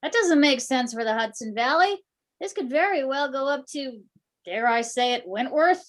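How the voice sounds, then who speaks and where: A woman's voice: sits high, lively intonation, normal speed. A woman playing a geeky, wisecracking conspiracy theorist researcher in an amateur readers theater reading. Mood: intrigued.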